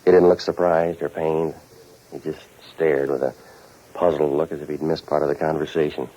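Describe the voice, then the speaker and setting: A hard-boiled American private eye in a vintage radio drama. The voice sits low and delivers sardonic wisecracks in a terse deadpan. The old recording is muffled and dull. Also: male voice, moderate pace. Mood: wry narration. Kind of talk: 1940s radio noir acting and narration.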